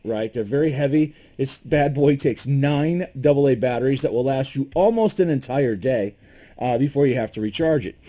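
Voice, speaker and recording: A man from Michigan talks through survival gear in an easygoing, unscripted way. He stumbles and restarts often and fills pauses with ums. His opinions come out plainly. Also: medium pitch, dark tone. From Michigan, USA. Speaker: preparedness gear reviewer. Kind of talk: casual gear review to camera.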